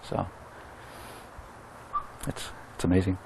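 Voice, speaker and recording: A man who works as a firefighter, speaking informally and haltingly with sincerity. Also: a crowd in the background, rough recording. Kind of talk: unscripted, informal interview talk.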